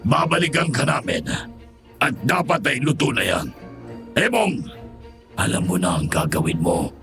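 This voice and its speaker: Cruel male monster master, gravelly, swooping delivery, horror audio drama, music underneath. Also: medium pitch, sing-song, a bit bright. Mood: cold threat. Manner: slow, menacing, mock-polite. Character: cruel, taunting male aswang lord of a mansion.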